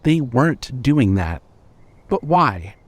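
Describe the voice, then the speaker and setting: Measured male story narrator. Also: medium pitch, very animated, dry recording. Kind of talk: scripted fiction narration.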